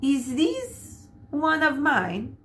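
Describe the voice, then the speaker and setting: A woman's voice: high-pitched, wildly swooping pitch, very slow, a bit bright, a little hiss or hum, pitched above the performer's own voice, full character voice. A woman doing a vain showy hairdresser. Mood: grand. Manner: showy, self-satisfied. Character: flamboyant vain male French hairdresser.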